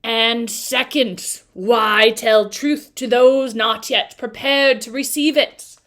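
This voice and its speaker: A huge, ancient male sphinx with a low, rough voice, clipping his words in a staccato way, as a woman puts him on while reading a storybook. He speaks slowly and solemnly, pausing between phrases. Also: fairly even intonation, a bit bright. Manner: slow, measured and solemn. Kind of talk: storybook read-aloud.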